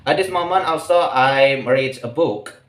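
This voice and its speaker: A man's voice: mid-range voice, roomy sound. Hesitant young man. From Indonesia. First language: Malay. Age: late 20s. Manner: hesitant, halting, pauses often to search for words. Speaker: vlogger. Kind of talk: casual personal monologue.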